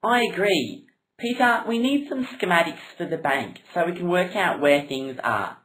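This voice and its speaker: Woman as an exasperated brainy crook, puppet comedy, critical, lively intonation. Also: lowish voice, moderate pace, rough recording. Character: exasperated, logical gang-member puppet planning a robbery.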